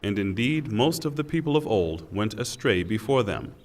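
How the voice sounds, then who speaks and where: A man reading a scripture translation in a solemn, measured and deliberate way. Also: on the low side, expressive. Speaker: translation reader. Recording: long hall reverb.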